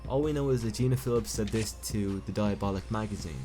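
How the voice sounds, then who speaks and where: A man's voice: lowish voice, a little fast, warm tone, soft background music. A young man giving casual video commentary as a YouTube film commentator, rambling and often correcting himself mid-sentence. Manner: rambling, self-correcting, stumbles and restarts. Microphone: home recording mic.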